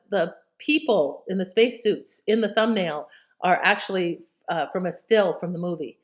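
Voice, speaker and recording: A woman hosting an old-time radio programme, introducing it in a casual, conversational, musing way. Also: mid-range voice.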